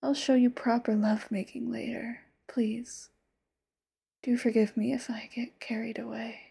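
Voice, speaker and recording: A woman's voice: fairly high pitch, level tone, unhurried, full character voice. A woman voicing an obsessive, possessive immortal lover in a whispered audio roleplay, sweetly menacing. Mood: tender whisper. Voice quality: soft breathy whisper. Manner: intimate, sweetly menacing, sudden flares.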